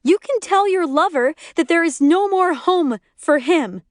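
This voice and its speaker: Woman acting a shrill, hysterical screaming young wife. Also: wildly swooping pitch, moderate pace, a bit bright, no room sound, studio quality. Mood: angry, accusing. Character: hysterical pregnant young wife, jealous, screeching, accusing. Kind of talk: acted audiobook dialogue.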